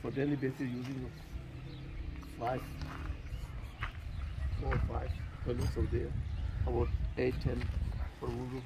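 A man leads a walking tour through a bare concrete shell, pausing often and leaning on "you know". He sounds roomy and set back from the camera, with a long ring of reverb. Steady background noise sits under his voice. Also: mid-range voice, creaky. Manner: halting, fills pauses with "you know". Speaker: tour guide. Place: unfinished concrete building, indoors and out. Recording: echoey, distant, noisy background.

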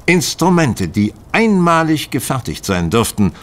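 A man's voice: on the high side, very animated, unhurried. An actor playing a confident, methodical male detective in an audio drama, lecturing with precise articulation.

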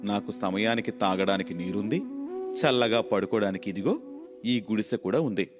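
A man voicing a humble, contented poor young man, calm and reassuring, in a moral storybook tale. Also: fairly low pitch, normal speed, faint music behind, pitched below the performer's own voice, close to an everyday voice. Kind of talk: Telugu moral storybook acting.

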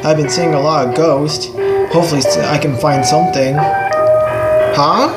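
A performer voicing a plucky, sassy, exasperated female fox mechanic in an amateur comic dub, with loud game music behind. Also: mid-range voice, fairly even intonation, moderate pace, close to an everyday voice. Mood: uneasy, hopeful. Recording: home recording under loud music. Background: loud video game music.

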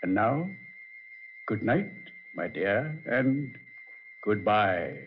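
A deep-voiced old man speaks slowly, with mocking courtesy and quiet menace, as if back from the grave. It is 1940s radio horror, muffled and hissy. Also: expressive. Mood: mocking, sinister. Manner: slow, sinister, mock-courteous, chuckling.

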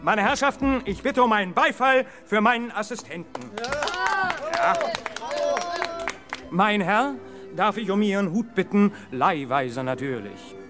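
An actor playing a showy, jovial fairground magician with theatrical patter in a children's radio play. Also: male voice, sits high, animated, unhurried, pauses often, music in the background. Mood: jovial, coaxing. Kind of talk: 1970s German children's radio play acting.

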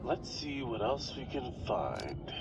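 A man's voice: medium pitch, very animated, slow, gravelly, over music, full character voice, low-quality recording. A man playing a cautious but keen young diver in a video game, heard through a dive mask intercom filter. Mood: keen, sober.

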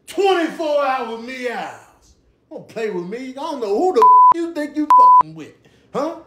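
Furious male cat for comic voiceover played by a man: high, swooping, indignant, foul-mouthed, noisy clip audio. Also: huge pitch swings, unhurried, roomy sound, lo-fi sound, exaggerated voice. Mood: threatening. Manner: indignant, swaggering, cursing. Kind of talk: comic animal voiceover over viral clips.

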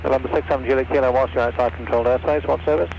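A man's voice: medium pitch, steady tone, very fast, vocal fry. A man speaking as an air traffic controller in clipped phraseology over aircraft radio. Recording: dull, noisy aircraft radio reception.

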